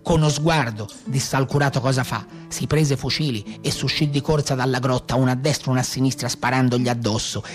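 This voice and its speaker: A man's voice: medium pitch, voice pushed down, lightly acted. A man playing a proud, menacing Tuscan brigand telling his story bluntly in a theatrical monologue. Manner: colloquial, blunt, boastful telling. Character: old-time Maremma brigand, proud, defiant, menacing storyteller.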